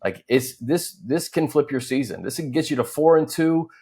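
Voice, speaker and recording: A man hosting a conversational football show, talking in halting, choppy phrases. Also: a little high, animated, dark tone, breathy edge. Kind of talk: conversational sports talk.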